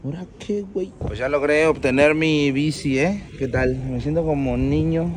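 A man's voice: a little high, moderate pace. A man with a Mexican accent vlogging casually on city streets, joking and slangy with his friends. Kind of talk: casual travel vlog. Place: outdoors on city streets, often riding a bike. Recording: outdoor street noise. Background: street traffic and city noise.

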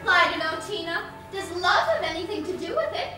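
A woman's voice: extremely high pitch, big pitch swings, brisk, over music. A young woman playing a doubtful, dramatic romantic heroine in a stage comedy, projecting melodramatically. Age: young adult. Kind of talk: theatrical stage comedy acting. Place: large theater stage, indoors. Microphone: far camera. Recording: distant, echoey theater stage recording.

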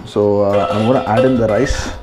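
A man's voice: fairly low pitch, steady tone, moderate pace, music in the background. A man with an Indian accent giving a casual, informal step-by-step cooking narration as a home vlogger in a kitchen.

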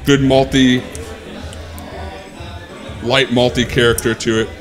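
A man in his thirties with a big, deep voice, a guest describing craft beer in a taproom, halting with many fillers. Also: level tone, soft background music. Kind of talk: casual beer tasting talk. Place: sports bar taproom. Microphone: handheld mic.

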